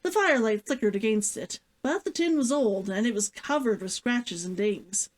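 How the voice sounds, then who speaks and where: Female storyteller, slightly high voice, very animated pitch, reading her own novel to followers. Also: normal speed. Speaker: author and read-along host. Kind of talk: scripted fiction narration, reading aloud.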